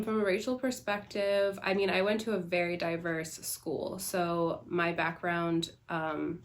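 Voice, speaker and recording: A young woman from the Bahamas answering interview questions about herself in a conversational, reflective way. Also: fairly low pitch. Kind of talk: candid personal interview.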